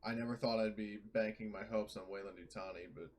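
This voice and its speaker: A man casually talking as a player in a tabletop roleplaying game, halting and informal, with some swearing. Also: on the low side, fairly even intonation. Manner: informal, foul-mouthed, halting. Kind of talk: casual in-character game chat. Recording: clear background noise.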